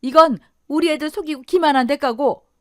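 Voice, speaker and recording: A fierce, betrayed older wife, acted out by a woman narrator in an audio drama, scolding with biting indignation. Her high voice swings sharply up and down, recorded close and dry. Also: sing-song, a little slow, no room sound. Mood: vengeful rage.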